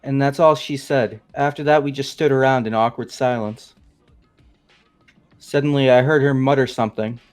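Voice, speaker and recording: A man's voice: medium pitch, steady tone, normal speed, faint music behind, natural voice. A man voicing a nervous young man who rambles defensively through a confession, as fan voice acting of a game. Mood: anxious.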